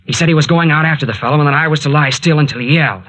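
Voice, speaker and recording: An actor playing an earnest, excitable young adventurer in a 1940s radio drama, with a colloquial, solicitous way of talking. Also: male voice, fairly high pitch, very fast, low-quality recording. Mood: tense, urgent. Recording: vintage 1940s radio recording.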